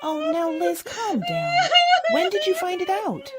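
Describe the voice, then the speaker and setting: A woman voices a gushing society wife in a classic radio comedy. Her very high voice swoops wildly and lingers slowly over theatrical exclamations, every bit the dramatic best friend. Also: sing-song, very noisy, full character voice. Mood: concerned, tearful. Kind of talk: old-time radio sitcom acting.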